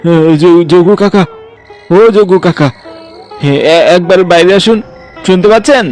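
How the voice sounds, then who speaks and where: An actor playing a distraught young village husband in a horror cartoon, anxious and stammering. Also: male voice, high voice, normal speed, a little mellow, faint music behind, lightly acted.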